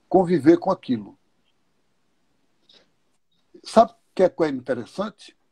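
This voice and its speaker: A man's voice: a little high, a little mellow, husky, no echo. A man speaking as a guest in a live online talk, explaining his views thoughtfully and posing questions as he goes. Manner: unhurried, thoughtful, uses questions and examples.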